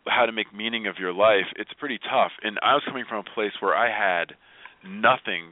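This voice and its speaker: A man with a fairly low voice comes through a dry, phone-like line. He is a motivational speaker being interviewed, telling his own story candidly and emphatically, often chuckling as he goes. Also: a little fast, no echo.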